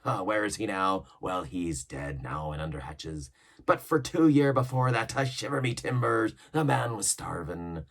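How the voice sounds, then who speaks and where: A man's voice: on the low side, expressive, moderate pace, creaky, fairly bright tone, full character voice. A man voicing a scheming older pirate cook who talks in a coaxing, boastful seaman's way.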